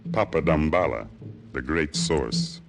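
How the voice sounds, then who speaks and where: Gentle giant servant, very low voice, unhurried, broken English, loyal and solemn, old radio drama. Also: male voice, gravelly, faint music behind, heavily acted. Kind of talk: 1950s radio drama acting.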